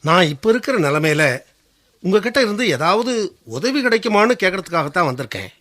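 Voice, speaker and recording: A man's voice: sits high, lively intonation, brisk, fairly bright tone. An actor playing a desperate, humbled husband who has lost his job and money, speaking with earnest emotion in a Tamil radio drama. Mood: troubled, pleading.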